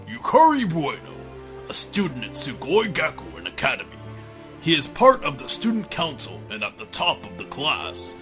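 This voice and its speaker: A man's voice: on the high side, wildly swooping pitch, normal speed, pushed and bright, full character voice. A man playing a dramatic narrator in an anime parody, reading scripted character introductions. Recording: music behind.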